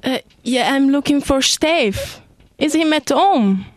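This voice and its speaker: A woman's voice: high voice, expressive, slow pace, no echo. A woman playing an easygoing young woman in a live radio play, speaking simple broken English with a non-native accent. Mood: casual. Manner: casual, simple broken phrases.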